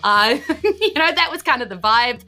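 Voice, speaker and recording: A woman, improvising narration as game master of a tabletop game podcast in a chatty, excitable, emphatic way. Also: fairly high pitch, normal speed. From New Zealand.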